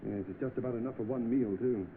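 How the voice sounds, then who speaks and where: Old film salesman, male actor: earnest, self-doubting, politely flustered. Thin band-limited sound, clear noise. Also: medium pitch, fairly even intonation, quick pace, phone-quality sound, natural voice. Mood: determined. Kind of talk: old film drama acting.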